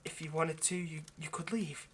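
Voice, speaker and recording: A man's voice: fairly high pitch, fairly even intonation, normal speed, close to an everyday voice. Earnest vampire lover, played by a man, noisy recording. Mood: solemn, cautioning. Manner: hesitant, stammering, gently persuasive. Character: earnest, lovestruck male vampire coaxing a partner. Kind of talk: intimate roleplay ASMR monologue.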